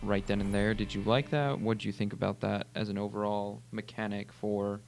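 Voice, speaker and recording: A man's voice: fairly low pitch, little pitch movement, a little fast, vocal fry, darkish tone. A man hosting a casual gaming discussion and putting questions to a guest, with background noise in the recording. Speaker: host asking questions.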